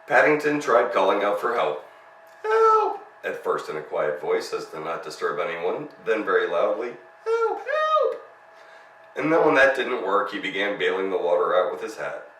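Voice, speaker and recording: A man's voice: low voice, animated, fairly quick, hardly any pauses, fairly bright tone. A man narrating a children's story as a storyteller, reading expressively. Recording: roomy, distant mic, faint noise.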